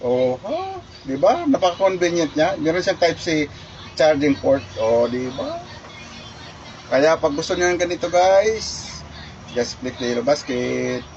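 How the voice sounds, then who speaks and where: Casual male reviewer, slightly high voice, rambling and repetitive, muffled phone recording. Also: big pitch swings, measured pace, a little mellow, a little hiss or hum. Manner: chatty, repeats himself, many restarts and fillers. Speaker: product reviewer vlogger.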